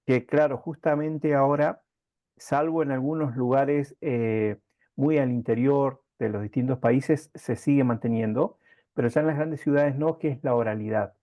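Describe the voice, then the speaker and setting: A man interviewing in a conversational way, speaking haltingly and reflectively in circling phrases. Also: mid-range voice, muffled recording.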